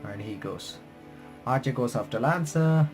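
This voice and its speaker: Man, medium pitch, halting read-aloud, self-corrections, playthrough commentary, game music behind. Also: expressive, darkish tone. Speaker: gaming reaction streamer. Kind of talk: reading visual novel text aloud with commentary.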